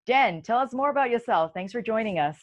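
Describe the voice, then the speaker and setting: A woman's voice: a little high, lively intonation, a little fast, no room sound. A woman in her forties hosting an online community forum over a video call, talking conversationally with fillers and restarts. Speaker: forum host.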